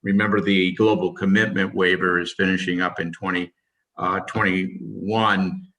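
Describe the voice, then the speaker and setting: Deep-voiced official, halting, losing his voice. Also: little pitch movement, pushed and bright, distant mic, low-quality recording. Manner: halting, hesitant delivery. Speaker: government official giving testimony. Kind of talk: formal policy briefing.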